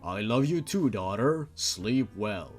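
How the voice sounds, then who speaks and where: Man putting on a formal, stately father, animated delivery. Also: fairly low pitch, slow, faint music behind, voice pushed down. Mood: affectionate. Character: formal, stately father figure with supernatural powers.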